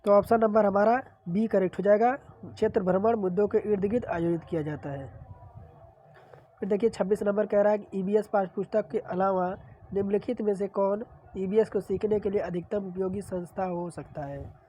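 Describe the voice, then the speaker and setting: A woman's voice: mid-range voice, fairly even intonation, brisk, mellow, slight background noise. A woman teacher with a sore throat, steadily reading out exam questions and explaining the answers. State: sore throat, clearing throat often. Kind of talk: reading and solving practice questions.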